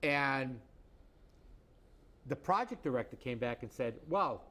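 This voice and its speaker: A man's voice: medium pitch, expressive, slow, pushed and bright. A man co-hosting a local political commentary show, recounting a public meeting in a plain, matter-of-fact way. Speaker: co-host.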